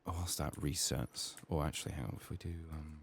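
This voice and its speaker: A soft-spoken man giving ASMR video game commentary, often slipping into whispers, his voice strained from being sick. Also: rumbling low, a little fast, creaky, slightly rough.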